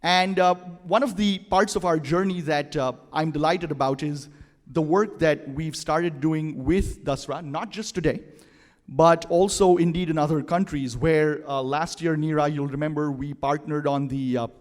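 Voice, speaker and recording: A man's voice: fairly high pitch, moderate pace. Man giving a chatty conference welcome. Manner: relaxed and chatty, fillers, engages the audience. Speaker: nonprofit leader giving opening remarks. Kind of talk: conference opening speech. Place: conference hall. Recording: long hall reverb.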